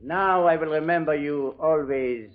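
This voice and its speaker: A man's voice: on the high side, measured pace, noisy background, lightly acted. Man playing a menacing French gangster, tinny old radio. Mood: courteous, resigned. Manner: theatrical, formal, threatening. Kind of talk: 1940s radio detective drama acting. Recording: tinny, band-limited old radio recording.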